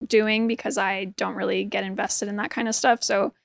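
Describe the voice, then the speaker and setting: A woman's voice: mid-range voice, level tone, moderate pace, gravelly, no echo. Chatty woman, native Hebrew speaker. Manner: chatty, frequent "like" and "um", easy laughter. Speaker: podcast guest, artist. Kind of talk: casual conversational interview.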